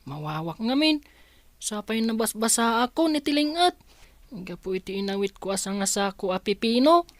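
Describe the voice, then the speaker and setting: A woman voices a playful village boy with an Ilocano accent. The voice is fairly high and dry, innocent and unhurried in a radio comedy drama manner. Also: very animated, dry recording. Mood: chatty. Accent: Ilocano Filipino. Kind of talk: Filipino radio drama acting.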